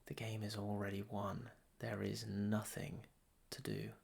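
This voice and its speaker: Very slow, low-pitched man guiding meditation. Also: completely flat delivery, creaky, a little mellow, slightly rough. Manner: soothing, deliberate, with long pauses between short phrases. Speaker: meditation teacher. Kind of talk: guided meditation.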